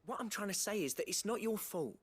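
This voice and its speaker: An actor playing a loyal, plain-spoken companion in a video game, earnest and informal. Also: male voice, sits high, animated, fairly quick, breathy edge. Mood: encouraging. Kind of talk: video game drama acting.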